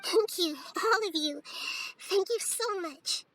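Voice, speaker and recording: A woman voicing a gentle, wise childlike girl deity with measured, formal, earnest speech in a game cutscene. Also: very high-pitched, exaggerated voice, poor audio. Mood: sincere, grateful. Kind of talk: scripted game cutscene acting.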